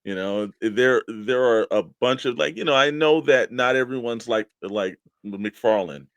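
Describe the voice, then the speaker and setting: A man's voice: mid-range voice, unhurried, fairly bright tone, no room sound. A man co-hosting a hobby show, talking casually and explaining his opinions at length. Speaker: co-host of a toy collecting show.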